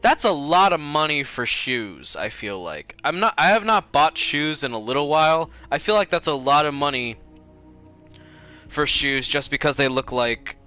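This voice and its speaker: A man's voice: fairly high pitch, lively intonation, normal speed, pushed and bright, faint music behind. A man chatting casually while livestreaming a game, rambling and circling back over his own sentences. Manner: rambling, easily distracted, repeats himself.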